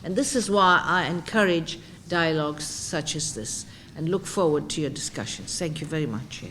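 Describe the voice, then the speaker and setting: Low-voiced woman giving a formal speech. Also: heavy background noise. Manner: measured, formal delivery. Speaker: speaker at a conference. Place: conference room.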